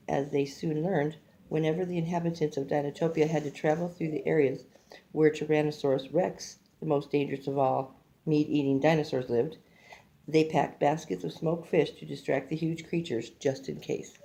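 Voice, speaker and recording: An older woman reads a picture book aloud like a grandmother, haltingly, stumbling over words and adding casual asides. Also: deep voice, creaky, mellow, rough recording. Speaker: grandmother reading a storybook aloud.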